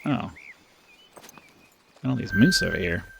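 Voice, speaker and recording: A man with a mid-pitched voice gives casual play-by-play of a hunting game. He sounds easygoing and thinks aloud in short bursts. He is close to the microphone. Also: huge pitch swings, measured pace, creaky, noisy background. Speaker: gaming video host.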